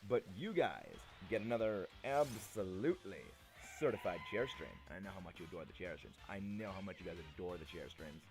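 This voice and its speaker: Young male streamer, slightly gravelly, lively pitch, chatty and playful with chat. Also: brisk, hardly any pauses, music in the background. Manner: playful, chatty, repeats himself, reads chat aloud. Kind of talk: casual live-stream chat with viewers.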